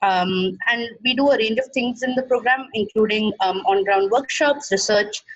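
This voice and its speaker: A woman's voice: on the high side, moderate pace, no echo. A woman on a webinar panel, friendly but hesitant with fillers, speaking over a video call. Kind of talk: webinar discussion. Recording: dull, dry video call audio.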